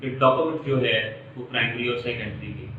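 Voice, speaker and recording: Male Pakistani-accented law lecturer, mid-pitched, repetitive step-by-step delivery, dull distant recording with faint noise. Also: brisk, bright, forceful tone. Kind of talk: explanatory lecture with worked examples. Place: echoey indoor room. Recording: echoey room, distant mic, muffled, faint noise.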